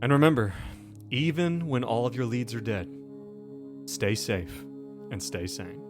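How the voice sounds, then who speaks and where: A man's voice: medium pitch, expressive, measured pace. A man hosting a tabletop horror role-playing show as game master, narrating scenes and chatting casually. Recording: music playing behind the voice. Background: music.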